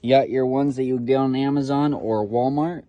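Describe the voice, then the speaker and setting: A man's voice: medium pitch, steady tone, normal speed, dark tone, no echo, dull audio. A man giving a casual, rambling show-and-tell about his gear, with lots of "you know" fillers and a slightly nervous, informal manner. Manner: admits to nervousness. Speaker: hobbyist radio reviewer.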